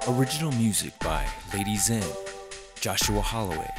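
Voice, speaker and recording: A man with a low voice narrates as the creator of an audio drama. The delivery is a scripted podcast outro with credits, read over clearly audible music. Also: lively intonation, normal speed. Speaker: show writer and creator.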